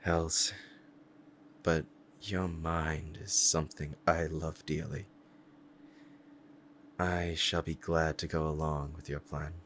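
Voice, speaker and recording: A man's voice: very deep voice, level tone, slow, long pauses, fairly bright tone, a little hiss or hum, full character voice. A man playing a powerful demon devoted to a beloved master, speaking formally and earnestly in a romantic ASMR roleplay. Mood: tender, formal. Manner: formal archaic diction, earnest and reverent.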